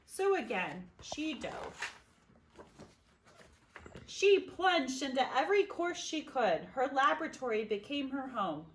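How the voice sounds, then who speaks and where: A woman reading a children's picture book aloud expressively, with dramatic pauses and emphasis. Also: a little high, fairly bright tone. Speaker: storybook reader.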